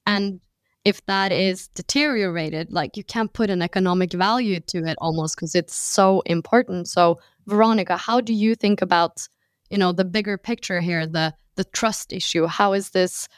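A woman's voice: mid-range voice, moderate pace, clear, resonant tone, dry recording, studio quality. A woman hosting a conversational panel discussion, phrasing her thoughts in a hesitant, thoughtful way. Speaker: podcast host.

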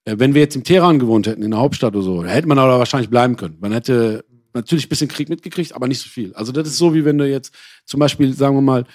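A man from Iran who grew up in Germany tells his story as an interview guest. He speaks casually and colloquially, often digressing and correcting himself. His mid-pitched voice is recorded dry, with very little room sound. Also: very fast, darkish tone, dry recording. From born in Iran, grew up in Germany.

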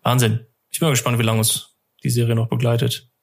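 Male podcast co-host, medium pitch with plenty of creak, informal and easygoing. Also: completely flat delivery, fast. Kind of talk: casual podcast chat.

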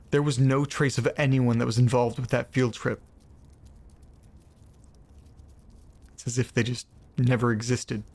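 A man acting in an audio drama as a shaken narrator reading an eerie first-person account, with deliberate, broken phrasing and dramatic pauses. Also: medium pitch, lightly acted. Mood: shaken, bewildered.

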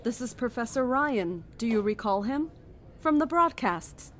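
A woman playing a calm, zealous cult leader in a horror audio drama, speaking in a measured, formal, persuasive way. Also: fairly high pitch, a little hiss or hum. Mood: serene, commanding.

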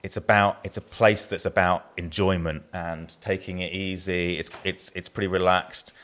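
A man's voice: sits low, level tone, normal speed, fairly bright tone. A man with a southern English accent, a brewer being interviewed, who talks in a rambling way with many restarts. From southern England.

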